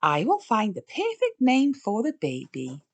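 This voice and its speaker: A woman voicing an excitable, bossy little girl pig in a children's storybook, with playful announcements. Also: mid-range voice, a little slow, full character voice. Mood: excited, delighted. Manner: bright exclamations, playful pretend announcements. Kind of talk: children's storybook read-aloud.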